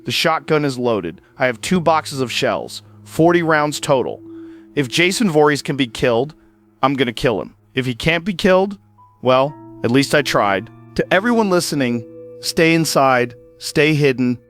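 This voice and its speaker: A man's voice: mid-range voice, animated, normal speed, fairly bright tone, natural voice. A man playing a 68-year-old small-town radio host in an audio drama, solemn and fatalistic, delivering a plain-spoken emergency broadcast monologue. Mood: grimly determined. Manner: solemn, resolute, plain-spoken short declarations. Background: music under the voice.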